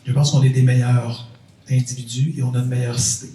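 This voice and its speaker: A man's voice: medium pitch, little pitch movement, fairly quick, mellow. A middle-aged man with a Québécois French accent, giving an informal talk to a university audience, chatty and repeating phrases for emphasis. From Quebec. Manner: conversational, repeats phrases for emphasis, jokes with the crowd. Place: university lecture hall. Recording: echoey hall, mic at a distance.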